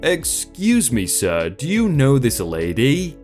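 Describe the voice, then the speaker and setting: Male narrator as a formal store manager, slow and polite. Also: medium pitch, huge pitch swings, darkish tone, faint music behind.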